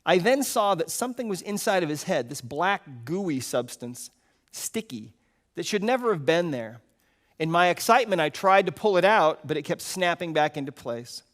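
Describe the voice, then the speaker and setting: A man reads a story aloud expressively at a live event, earnest, with dramatic pauses. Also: on the high side, lively intonation, fairly bright tone. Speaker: speaker reading a story aloud at a retreat.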